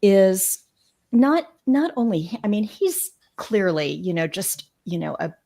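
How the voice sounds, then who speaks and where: A woman guest on a casual livestream panel, with a slightly scratchy voice at a middle pitch. She speaks hesitantly, stops and restarts her sentences, and laughs easily. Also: sing-song, slow, creaky, warm tone.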